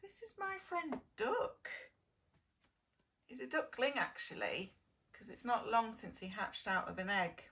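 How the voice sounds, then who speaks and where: A woman reads to preschoolers as their teacher. Her pitch rises and falls freely and her pace is leisurely. She speaks in an exaggerated sing-song, pausing to put gentle questions to small children. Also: sing-song, a little hiss or hum. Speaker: preschool early years teacher reading a story. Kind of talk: children's storytelling, addressing preschoolers.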